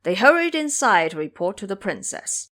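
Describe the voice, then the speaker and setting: Animated woman narrating an audio drama. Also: mid-range voice, normal speed.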